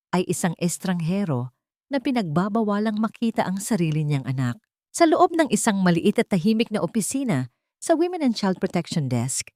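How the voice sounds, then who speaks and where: A woman's voice: sits low, a little mellow, dry recording, studio quality. A woman narrating a scripted story in a dramatic style.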